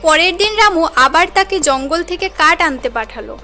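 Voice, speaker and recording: Very high-voiced woman narrating a story. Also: lively intonation, brisk, pushed and bright, soft background music. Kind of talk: scripted cartoon story narration.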